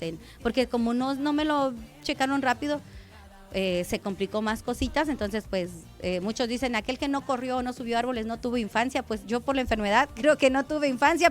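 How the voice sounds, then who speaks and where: A woman pastor giving a conversational radio sermon, often addressing listeners as brother. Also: a little high.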